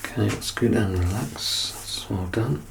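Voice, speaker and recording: A soft-spoken ASMR performer playing a clinician in an exam roleplay, gently reassuring and instructing in a hushed voice that often drops to a whisper. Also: sits low, measured pace, vocal fry, noisy background.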